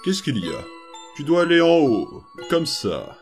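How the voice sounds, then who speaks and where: A man voicing a patient adult male skeleton who looks after strange children, speaking softly and haltingly with lots of small pauses. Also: fairly high pitch, very animated, soft background music. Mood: gentle. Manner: hesitant, soft-spoken, full of small pauses and fillers. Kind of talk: fan comic dub voice acting.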